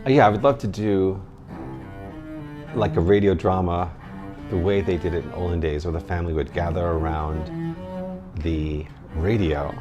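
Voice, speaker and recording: Man, voice actor, deep pitch, relaxed interview talk, music behind. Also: normal speed, pauses often, highs cut off. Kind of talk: casual documentary interview.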